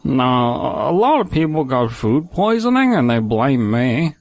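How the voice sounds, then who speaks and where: An awkward, self-effacing drifter played by a man in a comedy audio skit. The voice is hesitant and modest, swinging wildly up and down in pitch, recorded dry and close. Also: sing-song, unhurried, no room sound. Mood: sheepish. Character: awkward, self-effacing drifter who cannot hold a job.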